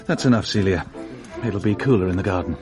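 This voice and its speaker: An actor playing a suave gentleman gambler in a radio drama, cool and teasing toward his lover. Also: sits low, quick pace, music in the background, close to an everyday voice.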